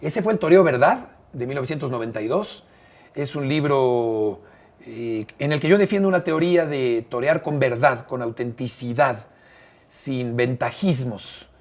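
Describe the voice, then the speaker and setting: An older man with a Mexican accent speaks in a relaxed, measured way, neither high nor low in pitch. He tells his stories with frequent "eh" pauses and repeats phrases as he thinks. The effect is of an easygoing interview guest remembering the past. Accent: Mexican Spanish. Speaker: interview guest, broadcaster and bullfight writer. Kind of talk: relaxed conversational storytelling.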